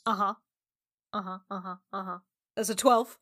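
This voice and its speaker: A woman's voice: mid-range voice, steady tone, normal speed, fairly bright tone. A woman playing a tabletop roleplaying game with casual banter about her character.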